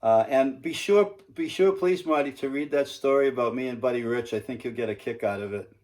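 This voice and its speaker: A man's voice: medium pitch, a little fast, darkish tone. Chatty American man in his seventies. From New England, United States. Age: 70s. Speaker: singer and guitarist. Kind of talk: casual chat on a phone call. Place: at home, indoors.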